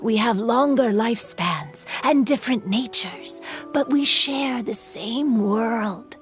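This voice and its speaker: A sorrowful yokai spirit recounts an old story in a slow, solemn way. Her voice sits a little high and never hurries. Soft music plays underneath. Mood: grave. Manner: slow, solemn, melancholy telling. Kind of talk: game cutscene storytelling.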